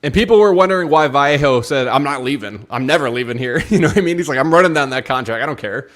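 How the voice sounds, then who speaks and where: Mid-pitched man in casual football chat. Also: animated, quick pace, a bit bright. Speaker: football show co-host or guest.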